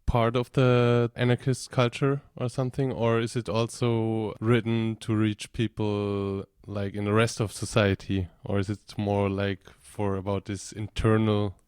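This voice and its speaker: A man interviewing a guest on radio, asking long, loosely built questions. His voice is low, smooth and even, with little pitch movement. The sound is close and dry. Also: unhurried, few pauses, dry recording.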